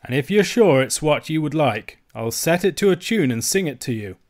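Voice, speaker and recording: Casting: male narrator as proud Highland gentleman, Scottish accent, assured, stubborn, drily polite storybook adventurer. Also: animated, moderate pace, close to an everyday voice. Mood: bold, teasing. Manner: bold, dryly courteous, assured.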